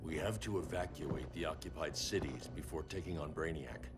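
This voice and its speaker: Very deep, terse vigilante. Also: male voice, normal speed, loud music behind the voice. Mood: grave. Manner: terse, serious. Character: grave male vigilante strategist, terse and commanding. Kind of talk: video game cinematic acting.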